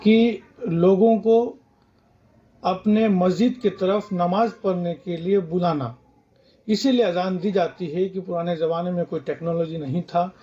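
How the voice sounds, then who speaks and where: A man explains in a street interview. His voice is high yet soft and dark, caught in muffled sound. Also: steady tone, measured pace. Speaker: interviewed local resident.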